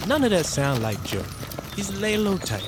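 A man's voice: high-pitched, big pitch swings, normal speed. An actor playing a loyal, easygoing freedman husband with a Southern US accent in an audio drama. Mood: reassuring. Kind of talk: naturalistic audio drama dialogue. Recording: noisy outdoor street ambience.

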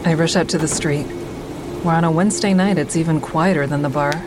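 Low-voiced, guarded woman, acted in audio drama. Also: brisk, very noisy, lightly acted. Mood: uneasy, inward. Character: guarded woman, a stand-up comic and crisis-line volunteer, narrating. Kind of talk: first-person audio drama narration and dialogue.

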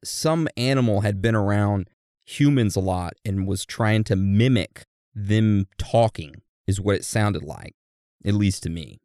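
A man's voice: lowish voice, a little slow, darkish tone, dry recording, clean studio sound. A man co-hosting a casual chat, telling eerie stories. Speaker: podcast co-host.

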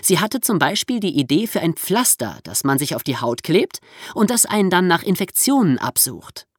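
A woman's voice: lowish voice, sing-song, normal speed, slightly rough, no echo, clean studio sound. A woman hosting a podcast for young listeners, explaining and storytelling in a conversational way with casual asides. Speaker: podcast host.

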